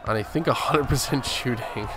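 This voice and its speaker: A man's voice: medium pitch, moderate pace, music in the background. A man giving casual, boastful gameplay commentary, narrating each move as a gaming video host.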